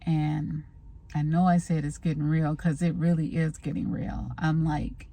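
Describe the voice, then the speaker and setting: A woman's voice: sits low, little pitch movement, a little slow, mellow. A woman vlogger chatting casually to the camera in a rambling, scattered way, recorded on a handheld phone.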